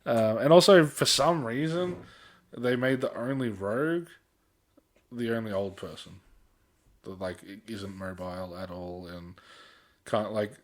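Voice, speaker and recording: A man with a fairly low voice, talking like a podcast co-host among friends. His speech is informal and slangy, with the odd mild swear word. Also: measured pace, long pauses. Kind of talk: casual pop culture podcast chat.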